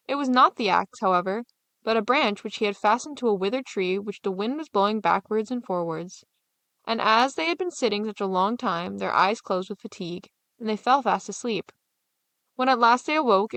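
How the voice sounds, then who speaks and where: A woman's voice: mid-range voice, fairly quick, fairly bright tone, dry recording. A woman storyteller reading a scripted fairy tale as narration.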